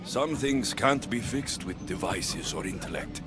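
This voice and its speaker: An actor playing a blunt, firm warrior hero serving as a judge in a video game. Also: male voice, low-pitched, lively intonation, unhurried. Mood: stern. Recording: game soundtrack music underneath.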